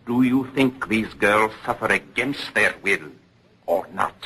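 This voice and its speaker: A man's voice: lowish voice, measured pace, bright, forceful tone, a little hiss or hum. An actor playing a stern, relentless prosecutor at a witch trial, questioning formally and insistently in old radio drama style. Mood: accusing, insistent. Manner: pressing, formal interrogation.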